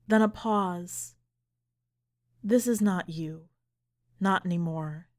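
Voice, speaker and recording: A woman's voice at a medium pitch, presenting literary fiction on a podcast. She is deliberate and measured, in the manner of a story reader and host. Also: a little mellow.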